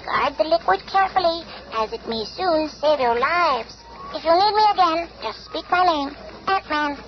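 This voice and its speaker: An actor voicing a tiny, calm, helpful male scientist superhero, with a sped-up little voice, in a radio drama. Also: very high-pitched. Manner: measured, formal, explanatory. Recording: dull old radio, faint noise.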